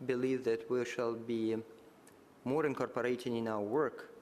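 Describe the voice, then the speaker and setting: A man whose native tongue is Russian speaks at a conference in a large hall, his voice trailing into a long reverb with faint room ambience behind it. He talks in a halting, measured way, stopping often to find the next word. His pitch sits in an ordinary middle range for a man. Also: little pitch movement, darkish tone, a little hiss or hum. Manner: halting, many filler sounds and restarts, measured. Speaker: panel speaker at a conference side event. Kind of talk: formal conference remarks.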